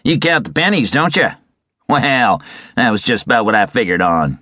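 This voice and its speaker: A man voicing a bullying, sneering outlaw in a Western story, with taunting, rough frontier talk. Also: medium pitch, sing-song, normal speed, sharp tone, no echo, clean studio sound, voice pushed up. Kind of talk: Western audiobook character.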